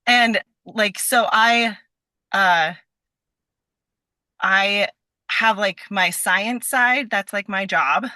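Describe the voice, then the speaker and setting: A woman's voice: medium pitch, drawn-out words, pauses often, bright, forceful tone, no room sound. A woman chatting casually as a podcast guest, informal and rambling, with an enthusiastic manner. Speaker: podcast guest, scientist and performer. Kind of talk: casual chat.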